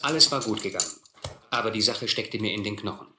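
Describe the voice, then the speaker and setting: An actor as a reflective first-person narrator recalling a boyhood adventure in a German radio play. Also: male voice, on the low side, fast talker, pushed and bright, echoey room, slight background noise.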